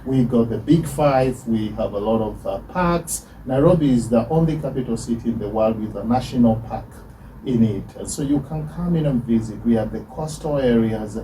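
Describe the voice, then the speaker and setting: A man's voice: medium pitch, normal speed, mellow. A man from Kenya chatting as a guest on a radio show, laughing often. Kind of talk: radio interview chat. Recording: distant mic with faint noise.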